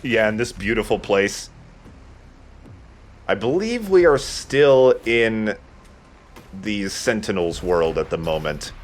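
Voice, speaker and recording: A man's voice: on the low side, lively intonation, slow, pauses often, slight background noise. A man giving casual let's play commentary, explaining things and correcting himself as he goes.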